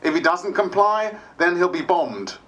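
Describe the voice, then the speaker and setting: A man's voice: high voice, very animated, unhurried, bright, forceful tone, exaggerated voice. A man playing a wry, candid diarist who is new to politics, narrating dramatised diary entries.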